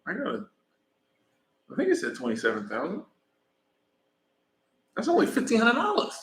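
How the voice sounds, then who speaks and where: A man's voice: sits high, huge pitch swings, normal speed, fairly bright tone, echoey room. Laid-back American man chatting live. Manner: rambling, laid-back, thanks viewers by name. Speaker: vlogger. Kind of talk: casual live-stream chat. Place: in Mexico, traveling.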